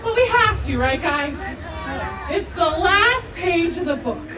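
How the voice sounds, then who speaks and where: A young woman hosting an animated story time for children, exaggerated and encouraging as she addresses them. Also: very high voice, takes it slowly. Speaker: theme park storyteller. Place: outdoor theme park stage, crowd behind. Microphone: picked up by distant camera. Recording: distant, echoey outdoor crowd, loud noise.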